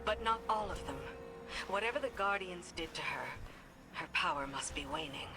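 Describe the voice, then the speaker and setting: A woman's voice: lowish voice, expressive, normal speed, over music, close to an everyday voice. A woman playing a wary, resolute soldier in a video game, terse and serious. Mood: guarded. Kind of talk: video game cutscene acting.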